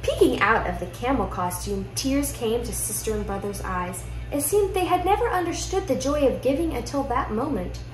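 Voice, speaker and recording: A young woman reads a children's picture book aloud with expressive storytelling in a living room. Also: fairly high pitch, normal speed, hardly any pauses. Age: young adult. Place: indoor living room at home. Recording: roomy echo, music behind.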